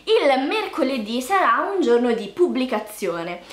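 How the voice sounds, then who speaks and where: A woman's voice: sits high, sing-song, unhurried. A woman chats casually to camera as a vlogger, playful and self-deprecating. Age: early 20s. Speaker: YouTube vlogger. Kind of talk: casual vlog talk to camera. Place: small indoor room with bare walls. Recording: echoey untreated room.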